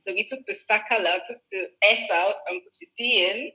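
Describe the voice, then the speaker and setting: A woman's voice: mid-range voice, brisk, sharp tone, poor audio. A woman fashion designer, a guest in a video-call interview, explaining her work thoughtfully with restarts and fillers. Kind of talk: relaxed conversational interview.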